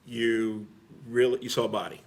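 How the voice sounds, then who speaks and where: A man's voice: mid-range voice, little pitch movement, moderate pace. A middle-aged man telling stories casually as an interview guest, plain-spoken and rambling, with frequent filler phrases. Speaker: interview guest, veteran firefighter.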